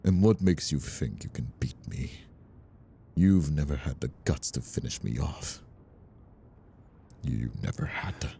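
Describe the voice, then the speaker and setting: An actor playing a vicious, bitter mob rival in an audio drama, mocking and theatrical in his drawn-out menace. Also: very deep voice, lively intonation, creaky, dark tone, a little hiss or hum, heavily acted. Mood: cruel mockery. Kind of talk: audio roleplay drama acting.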